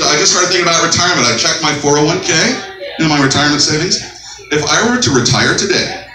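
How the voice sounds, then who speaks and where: Deep-voiced man in his fifties, stand-up. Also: reverberant, far from the mic, background noise. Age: 50s. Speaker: stand-up comedian. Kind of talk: stand-up set. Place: small coffeehouse, live audience.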